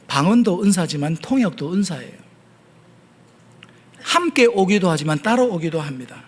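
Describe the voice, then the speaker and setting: A male pastor with a Gyeongsang accent and a fairly high voice delivers a sermon in a church. He talks earnestly and patiently, often stopping on hesitation sounds as he explains a point. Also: animated, moderate pace, slightly rough. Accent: Gyeongsang Korean. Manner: earnest preaching with frequent hesitation fillers. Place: church sanctuary.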